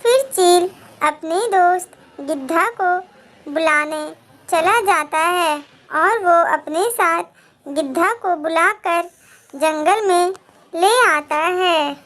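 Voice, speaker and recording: A woman narrating a scripted children's animated moral story. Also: very high voice, takes it slowly.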